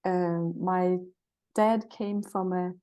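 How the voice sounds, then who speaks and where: A woman in her sixties with a Danish accent, speaking as an interview guest. Her voice is mellow and soft-edged. She talks in a friendly, cheerful way, thinking aloud as she goes. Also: lowish voice, fairly even intonation, extremely slow, clean tone. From Jutland, Denmark. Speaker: guest, naturopath and herbalist. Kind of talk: conversational interview.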